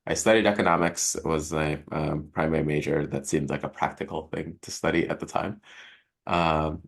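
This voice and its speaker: A man in his thirties from Jamaica, a professor answering interview questions thoughtfully, with frequent chuckles and pauses. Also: very deep voice, steady tone, gravelly. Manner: thoughtful, chuckles often, many ums. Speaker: professor guest.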